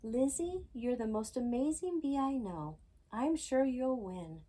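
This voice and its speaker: A woman's voice: sits high, lively intonation, a little slow, dark tone. A woman voicing a laid-back, friendly young girl bee in a children's storybook, easygoing and encouraging.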